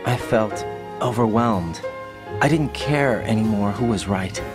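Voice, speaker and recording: A man's voice: fairly low pitch, a little slow, over music, natural voice. A man voicing an earnest, questioning young special forces agent in a video game. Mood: reflective. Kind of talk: video game voice acting over radio call.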